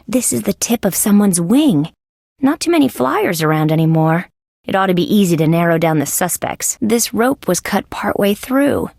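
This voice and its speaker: Wry, inquisitive lady detective for an adventure game: deadpan sarcasm, gravelly fry, dry close sound. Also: medium pitch, no room sound. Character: female insect police detective, wry, inquisitive, level-headed. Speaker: detective character in a video game. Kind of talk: scripted adventure game voice lines.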